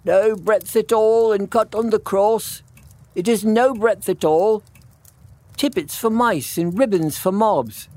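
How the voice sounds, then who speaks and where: A man's voice: high-pitched, slow pace, a little mellow, no room sound, pitched above the performer's own voice. A man voicing a poor, worn little old tailor who mutters earnestly in a storybook reading. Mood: muttering, absorbed. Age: older.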